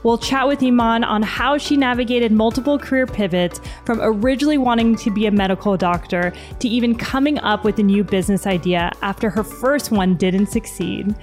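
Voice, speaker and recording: Woman podcast host, bit high voice, keen and chatty, faint music under her. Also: fairly quick, few pauses. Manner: warmly enthusiastic, scripted intro then chatty questions. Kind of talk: podcast interview.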